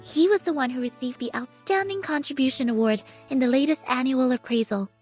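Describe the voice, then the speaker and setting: Dutiful young female assistant: high voice, formal and earnest game dialogue over faint music. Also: fairly quick, darkish tone, slightly rough. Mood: reassuring, formal. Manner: courteous, formal. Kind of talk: video game voice acting.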